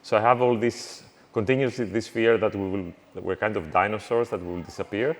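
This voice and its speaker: A middle-aged man lectures to students, and his speech halts often with fillers and restarts. His voice sits on the low side. The sound carries the long reverb of a lecture hall. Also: fairly even intonation. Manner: halting, many fillers and restarts. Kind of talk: university lecture.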